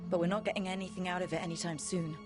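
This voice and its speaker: A woman voices a female hero of a fantasy game. The voice is a bit low, steady and determined, played naturally. Very loud cinematic music surrounds it. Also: very fast, natural voice. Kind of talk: natural dramatic video game acting.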